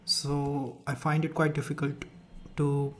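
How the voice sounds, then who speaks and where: A man's voice: on the high side, completely flat delivery, takes it slowly, fairly bright tone, a little hiss or hum. A young man with an Indian accent, whose first language is Rajasthani, hosting a live stream in casual chat, hesitant with many fillers and restarts.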